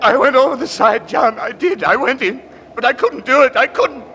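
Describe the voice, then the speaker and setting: A man's voice: very high-pitched, animated, moderate pace, vocal fry, pushed and bright, muffled recording, exaggerated voice. An actor playing a distraught, panicking man in an overwrought, anguished 1940s radio drama style. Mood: desperate, pleading. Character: distraught, panicking man caught in a deadly river tragedy. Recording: noisy old radio drama recording.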